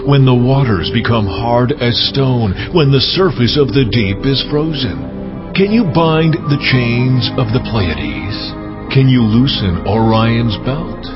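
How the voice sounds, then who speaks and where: An actor playing God speaking from the storm in dramatized scripture, solemn, stern and commanding, with deliberate questioning. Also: male voice, mid-range voice. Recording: dull lo-fi audio under music.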